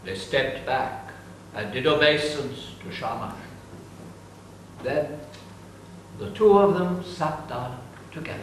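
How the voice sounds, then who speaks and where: A man narrating an ancient epic in a dramatic reading, slow and deliberate. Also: fairly high pitch, animated, a little hiss or hum. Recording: echoey room, distant mic.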